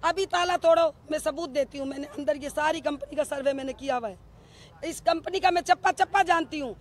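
A woman's voice: sits high, brisk. A middle-aged woman, speaking outdoors into a bank of press microphones, accusing and demanding answers with rhetorical questions. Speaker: bereaved mother speaking to press. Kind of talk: emotional press statement. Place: outdoors in a crowded street. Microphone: cluster of press microphones.

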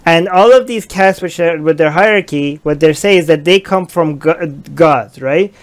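Clear-voiced young man hosting a livestream. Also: on the high side, moderate pace. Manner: reads viewer comments aloud and reacts. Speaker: livestream host. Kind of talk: casual live-stream discussion. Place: small room indoors.

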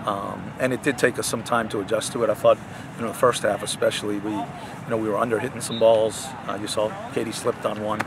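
Older male coach, post-game sideline interview, halting delivery, clear outdoor noise. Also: on the low side, level tone. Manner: halting, many restarts and fillers. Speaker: head soccer coach. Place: outdoor soccer field at night.

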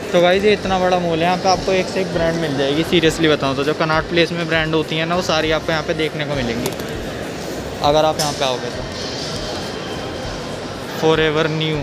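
A man's voice: a little high, steady tone, a little fast, long pauses, rough recording. A young adult man narrating a travel vlog in a casual, chatty style. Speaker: travel vlogger. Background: loud background music.

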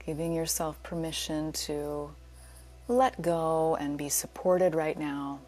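A woman teaching yoga nidra, leading a guided relaxation in a soothing, unhurried, gently inviting way. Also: low voice, soft background music. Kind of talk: guided meditation.

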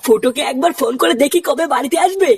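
Casting: high-voiced hapless old husband played by an actor, plaintive and colloquial, for a comedy cartoon. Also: fast talker. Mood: resigned. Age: older. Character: hapless henpecked old Bengali husband, timid grumbler.

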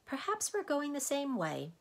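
A woman's voice: sits high, huge pitch swings, normal speed, pitched above the performer's own voice, exaggerated voice. Lady doing a polite little storybook boy, innocent and full of questions, lilting voice. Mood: polite curiosity.

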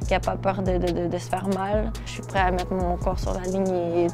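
A woman in her twenties from Quebec with a Québécois accent, a footballer chatting casually to the camera with relaxed fillers. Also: on the low side, moderate pace. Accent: Québécois French. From Quebec, Canada. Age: 20s. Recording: music playing behind.

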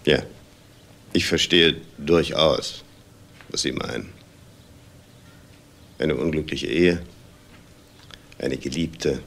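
An actor playing a weary, bitter middle-aged restaurant owner in a crime drama, speaking in a measured, deliberate way. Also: male voice, very deep voice, gravelly, a bit bright, full character voice. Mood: resigned. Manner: measured, deliberate, halting reflections. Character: weary, bitter unhappily married restaurant owner under suspicion. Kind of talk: naturalistic German TV crime drama acting.

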